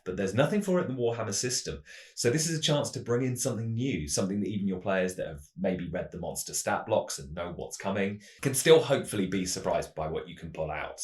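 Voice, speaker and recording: A man with a notably deep voice presents a fantasy roleplaying scenario like a game master advising others. His phrasing is measured and dramatic, in the manner of a storyteller. The recording is roomy, with clear echo around the voice. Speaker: host, game master giving adventure advice.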